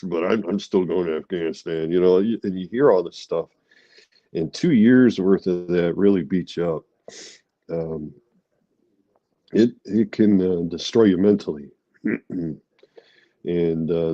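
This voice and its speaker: A man's voice: deep voice, mellow, no room sound, lo-fi sound. A middle-aged man, an army veteran, congested from a cold, speaking candidly in an interview with many fillers and restarts.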